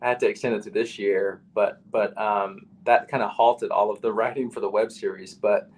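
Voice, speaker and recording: A man's voice: on the low side, fairly even intonation, moderate pace. A man with a nasal voice, a battle rapper talking casually as a podcast guest, full of "you know" and "like".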